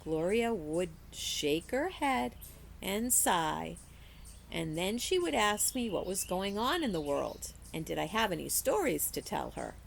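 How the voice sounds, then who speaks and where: A woman reading a children's story aloud in an expressive storytelling style, like a teacher with a class book. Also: fairly low pitch, measured pace, vocal fry, a little hiss or hum.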